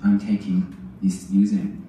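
A Korean-native man works haltingly through a recorded English exam answer, full of pauses and fillers. His voice sits very low and sounds dark. The recording is played back into a reverberant classroom and picked up from a distance. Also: level tone, slight background noise. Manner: slow, halting, with many fillers. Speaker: student answering an exam question. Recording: roomy sample played over speakers, far.

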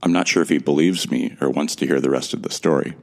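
A man with an extremely deep, gravelly voice narrates a sci-fi audio drama in the first person. He sounds earnest and a little lost as he tells the story. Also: fairly even intonation, fast talker. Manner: earnest, bewildered. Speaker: narrator and lead actor.

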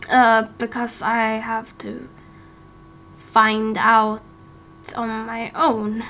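A woman playing a hesitant, soft-spoken young royal in an amateur game roleplay. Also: on the high side, completely flat delivery, drawn-out words, heavily acted. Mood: hesitant, unsure.

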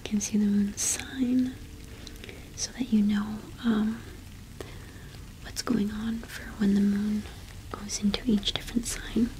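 A young woman speaking in a soft, breathy whisper close to the microphone, in a gentle, halting ASMR roleplay as a cottage witch shopkeeper. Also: medium pitch, flat delivery, a little hiss or hum, rough recording. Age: young adult.

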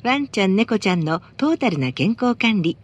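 Lively, melodic woman reading bus adverts. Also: medium pitch, moderate pace, husky, lo-fi sound. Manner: polite, formal. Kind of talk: scripted advertising announcement.

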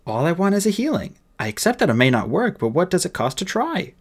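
A man's voice: high voice, sing-song, fast talker, slightly rough, pitched above the performer's own voice, exaggerated voice. A man voicing a clever human boy in an audiobook, speaking formally and earnestly with urgent persuasion. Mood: persuasive.

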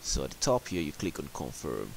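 Guy with a really low voice doing a computer how-to, plain n a bit halting, some hiss on the mic. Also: wildly swooping pitch. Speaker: tech support tutorial presenter. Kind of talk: step-by-step screen tutorial narration. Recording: hissy home voice-over recording. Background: clear background hiss.

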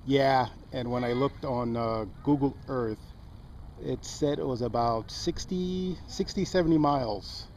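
A man's voice: mid-range voice, measured pace. A man having a casual amateur radio conversation outdoors. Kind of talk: casual ham radio chat. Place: outdoors on an open hilltop park. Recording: noisy outdoor recording, muffled, loud background noise.

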